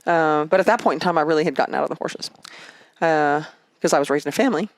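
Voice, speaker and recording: A woman's voice: sits low, fast talker, no room sound. Chatty woman with a dry, close sound. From Canada and Egypt. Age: 50s. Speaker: interview guest, horsewoman. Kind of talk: casual conversational storytelling.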